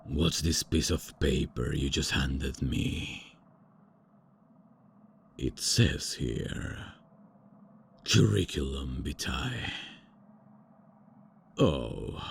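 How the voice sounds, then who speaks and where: A man's voice: extremely low pitch, animated, drawn-out words, vocal fry, long pauses, slight background noise, exaggerated voice. A man voicing a haughty, out-of-touch ancient male vampire lord in a comedy ASMR roleplay, with a pompous, theatrical manner. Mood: bewildered.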